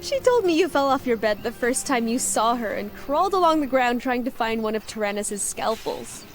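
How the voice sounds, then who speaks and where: Sardonic, high, lively airship pilot. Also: female voice, moderate pace. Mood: teasing. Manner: sarcastic, blunt, teasing. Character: sardonic, impatient young female airship pilot. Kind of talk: video game cutscene acting. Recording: faint music behind the voice.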